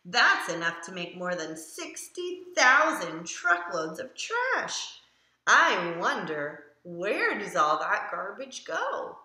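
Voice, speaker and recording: A woman's voice: medium pitch, very animated, takes it slowly, pushed and bright, exaggerated voice. A woman voicing an eager, curious family dog who narrates a children's picture book with simple, emphatic delivery. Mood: curious, explaining. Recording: roomy echo around the voice.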